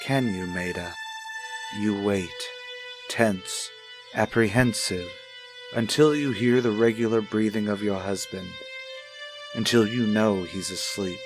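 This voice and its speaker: An actor playing an intimate, solemn soap opera narrator in old radio style, with deliberate dramatic pauses. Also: male voice, lowish voice, music in the background. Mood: solemn, confiding.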